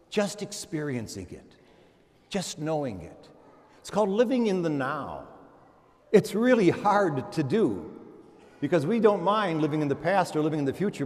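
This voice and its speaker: A man's voice: fairly high pitch, darkish tone. An older American man, a priest, delivering a homily with storytelling, emphatic repetition and rhetorical questions in a church. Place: church, preaching to a congregation. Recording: reverberant church hall.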